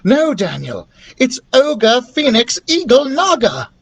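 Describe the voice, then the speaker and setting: A man's voice: very high-pitched, wildly swooping pitch, takes it slowly, vocal fry, fairly bright tone, slightly rough, pitched above the performer's own voice, heavily acted. A man voicing an eager, clever young girl adventurer in a storybook, thinking aloud as the character speaks. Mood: pleased, correcting.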